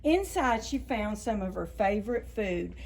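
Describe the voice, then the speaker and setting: A woman reading stories aloud to young children as a storytime host, expressive and deliberate, stretching out key words. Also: mid-range voice, creaky, a little hiss or hum. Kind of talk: read-aloud storytelling for preschoolers.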